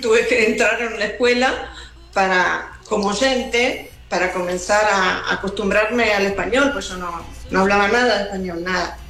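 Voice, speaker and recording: A woman's voice: medium pitch, moderate pace, a bit bright. Woman, 70s, chatty singer guest, roomy echo, faint music. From Argentina. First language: Italian. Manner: chatty, laughs easily. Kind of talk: casual interview.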